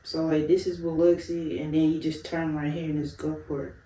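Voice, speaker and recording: A woman's voice: low-pitched, little pitch movement, moderate pace, mellow, recorded from a distance, a little hiss or hum. A young woman from Chicago, a guest in a casual interview, telling stories candidly, laughing often and swearing freely. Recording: roomy, off-mic, faint ambience.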